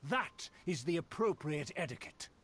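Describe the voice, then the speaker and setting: An actor playing a haughty, temperamental aristocrat in a video game, with pompous, indignant, ornate formal speech. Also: male voice, on the high side, lively intonation, moderate pace, a bit bright. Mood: offended, condescending. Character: haughty, temperamental male aristocrat of a declining clan. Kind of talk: theatrical video game voice acting.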